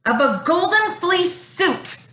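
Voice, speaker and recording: An actress playing a fearless young woman vigilante in a staged play reading, mocking and deliberate. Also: very high-pitched, sing-song, sharp tone, heavily acted. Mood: menacing. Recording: roomy, echoing sound.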